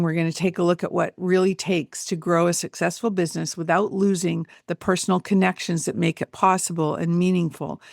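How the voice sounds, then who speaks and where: A woman's voice: on the low side, vocal fry, no room sound, studio quality. A middle-aged woman with a North American accent hosting a podcast interview, chatty and full of fillers. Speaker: podcast host.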